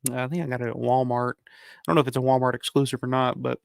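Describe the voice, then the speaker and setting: Livestream host, man, hoarse and gravelly, dry close mic, loose profane rambling. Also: mid-range voice, little pitch movement, fast, no echo. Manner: rambling, profane, frequent restarts; reads out viewer comments. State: hoarse from a cold. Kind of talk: casual livestream chat.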